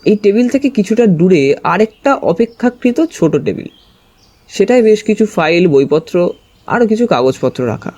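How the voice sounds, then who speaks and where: A man's voice: high-pitched, sing-song, moderate pace, a little mellow. A man narrating a story dramatically in the first person.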